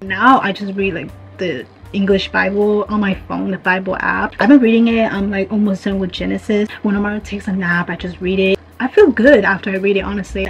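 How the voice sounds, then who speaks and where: A woman's voice: mid-range voice, low-quality recording. A woman in her twenties from China, a native Chinese speaker, talking casually and confidingly as a vlogger, often saying "like" and "you know". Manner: informal, frequent "like" and "you know". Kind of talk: casual chatty video diary, confiding. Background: music.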